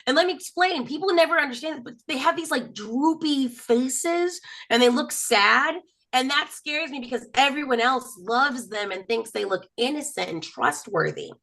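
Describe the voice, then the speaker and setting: A woman's voice: high voice, moderate pace. A woman from Texas doing a self-mocking stand-up comedy set on a video call. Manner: chatty, self-mocking, lively storytelling.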